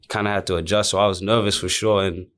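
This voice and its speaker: Young man, deep low voice, laid-back, informal, conversational interview answers. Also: steady tone, quick pace, fairly bright tone. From South Sudan and Sydney, Australia. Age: young adult. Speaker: professional basketball player, interview guest. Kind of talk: casual interview chat.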